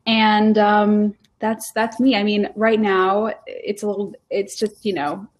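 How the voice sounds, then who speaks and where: A woman's voice: mid-range voice, little pitch movement, takes it slowly. Chatty young woman with many fillers. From Rhode Island. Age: 20s. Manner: rambling, many fillers and restarts. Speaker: guest. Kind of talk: casual interview chat.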